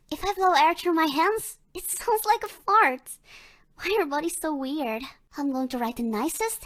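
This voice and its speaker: A very high, girlish voice for a bubbly digital pop idol in a video game. She is perky and cute, playing up each line with theatrical sweetness. The character is a cheerful synthetic starlet who loves to perform. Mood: excited, cheerful.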